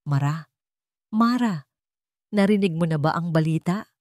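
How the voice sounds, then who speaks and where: A woman's voice: fairly low pitch, lively intonation, unhurried, a little mellow, no room sound, clean studio sound. A woman voicing a frantic, urgent female neighbour in a dramatic audio story. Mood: alarmed. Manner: urgent, exclaiming. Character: frantic, breathless female neighbour bringing bad news.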